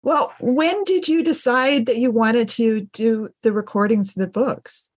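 A woman's voice: fairly high pitch, unhurried, dry recording. A middle-aged woman chatting casually as an interview host. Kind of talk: casual chat.

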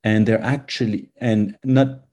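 A man from Lebanon, a guest in a podcast interview, answering in a thoughtful way with many pauses and fillers. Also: fairly low pitch, steady tone, warm tone. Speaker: guest, nonprofit CEO.

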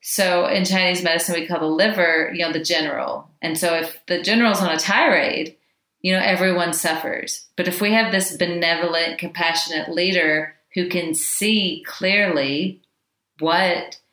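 A woman teaching Chinese medicine in a loud, chatty way, full of asides. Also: lowish voice, fairly even intonation, moderate pace. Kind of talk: informal teaching talk. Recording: echoey room.

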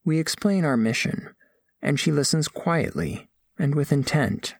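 A man playing a gentle, earnest alien scholar who narrates audio fiction in measured, formal, precise language. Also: on the high side, vocal fry, over music. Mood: curious, observant. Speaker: first-person narrator of a story.

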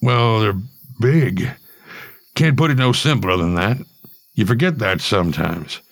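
A man voicing a friendly, rough-spoken killer who chats in a slangy, laid-back way. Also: fairly low pitch, animated, a little slow, dry recording. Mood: easygoing. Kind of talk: storytelling.

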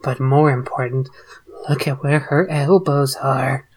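A woman's voice: rumbling low, fairly even intonation, measured pace, a little mellow, slightly rough. A woman co-host reading forum posts aloud in a mock-serious tone during a casual comedic chat.

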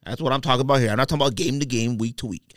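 A man's voice: mid-range voice, rapid-fire, dry recording, clean studio sound. A man co-hosting a sports podcast, arguing casually and repeating his phrases for emphasis.

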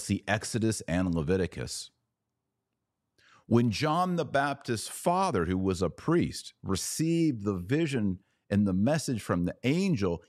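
Low-ish guy doing podcast commentary, emphatic and preachy, dry close sound. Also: darkish tone, no room sound. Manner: emphatic, lecturing, repeats points for stress. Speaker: podcast host. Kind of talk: podcast commentary on church liturgy.

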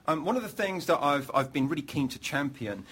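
A man's voice: on the high side, animated, a little fast, a bit bright, slight background noise. A man hosting a live panel event, talking with many fillers and repeated words. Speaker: host.

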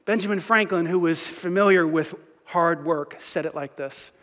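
A middle-aged man preaching a sermon as pastor, lively and self-mocking, often restarting his sentences. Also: fairly high pitch, takes it slowly, big-room sound.

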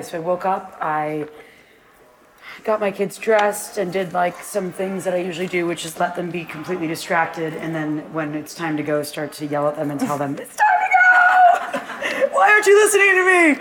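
A woman with a notably low, husky voice, talking as a guest before a live audience in an event space. She speaks in long, animated runs and laughs easily. Faint room noise sits under her voice. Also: normal speed, poor audio. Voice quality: deep husky voice. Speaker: guest, fitness class founder. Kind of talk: live onstage conversation.

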